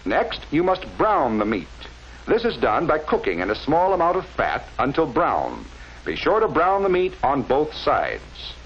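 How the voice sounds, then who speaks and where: A man narrating a scripted 1940s instructional film in a measured, didactic way with careful enunciation, on a vintage film soundtrack. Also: fairly high pitch, huge pitch swings, muffled recording, slight background noise.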